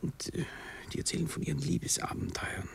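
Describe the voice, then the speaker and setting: An actor playing a nervous, sensitive young gentleman in love in a 1960s German radio drama, halting, stammering and self-pitying. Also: fairly high pitch, expressive, creaky, slight background noise. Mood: awkward, explaining.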